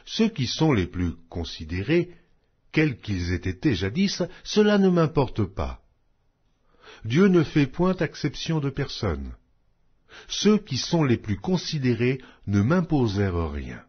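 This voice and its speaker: A man reading scripture aloud in a measured, solemn way. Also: wildly swooping pitch, slightly rough, lo-fi sound. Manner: measured, solemn reading with wide pitch swings. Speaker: Bible reader.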